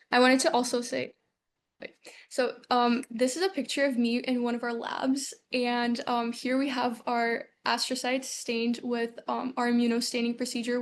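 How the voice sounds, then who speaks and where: A young woman from Ukraine giving a student research presentation over a video call, earnest and full of um fillers. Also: fairly high pitch. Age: young adult.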